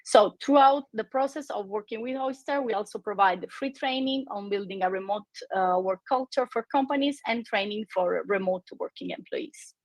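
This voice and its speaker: A woman's voice: medium pitch, moderate pace, hardly any pauses, dry recording, low-quality recording. A woman from Italy with an Italian accent, presenting her company in a webinar over a video call, with many fillers and restarts. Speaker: company representative on a webinar panel.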